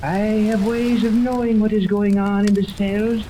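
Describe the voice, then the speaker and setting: An actor playing a haughty, sinister old scientist mastermind in 1930s radio serial style, pompous and formal. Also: male voice, high-pitched, little pitch movement, moderate pace, warm tone, close to an everyday voice. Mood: irritated, imperious. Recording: noisy vintage 1930s radio recording.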